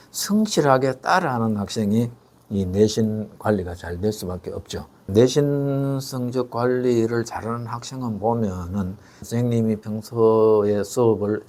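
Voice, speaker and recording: An older man, explaining education matters in a measured interview style with frequent fillers and rhetorical questions. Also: on the low side, dark tone. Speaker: education expert guest.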